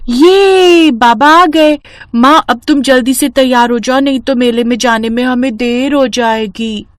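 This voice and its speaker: Woman putting on eager, high-pitched little girl. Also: measured pace, no echo, studio quality. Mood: excited. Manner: excited, pleading. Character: eager ten-year-old village girl, sweetly wheedling. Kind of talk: Hindi animated moral story.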